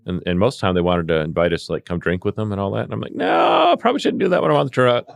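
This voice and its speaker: Male podcast guest, low register, rapid casual storyteller who acts out dialogue. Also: creaky. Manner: animated re-enacting of dialogue. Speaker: podcast guest, veteran EMS worker.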